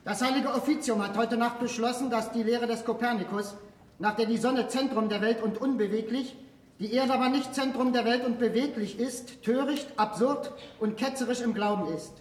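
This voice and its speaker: A man's voice: very high voice, moderate pace. A man acting a dutiful church scribe in a radio drama, reciting the record precisely. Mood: officious. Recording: echoey, distant radio play recording.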